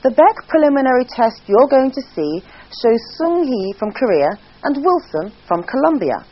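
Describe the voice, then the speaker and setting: Female narrator, breathy and formal, on a muffled lo-fi track. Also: sits high, moderate pace. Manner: formal, even delivery. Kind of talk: scripted explanatory narration. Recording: dull lo-fi voice-over.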